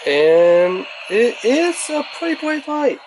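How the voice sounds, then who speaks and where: A man's voice: extremely high pitch, big pitch swings, takes it slowly, a little mellow, a little hiss or hum, poor audio. A man giving a casual product review in an informal, rambling way, thinking aloud as he goes.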